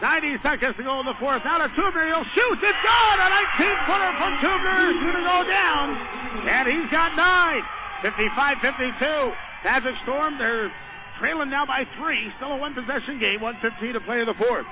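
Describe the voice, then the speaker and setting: A man's voice: very high-pitched, expressive, moderate pace, heavy background noise. A man doing live radio play-by-play of a basketball game in short, running descriptions of the action. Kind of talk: live radio sports commentary. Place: indoor high school basketball game.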